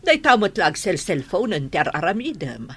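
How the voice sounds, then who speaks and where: Husky elderly grandmother, acted by a woman, stern, doubting, nagging, swinging intonation, radio drama. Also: lowish voice, sing-song, normal speed. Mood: scolding. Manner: admonishing, questioning.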